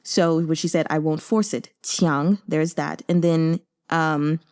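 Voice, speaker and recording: A woman's voice: low voice, steady tone, takes it slowly, darkish tone, clean tone. A woman hosting a book discussion in a casual, chatty style, speaking informally with slangy, opinionated and emphatic commentary.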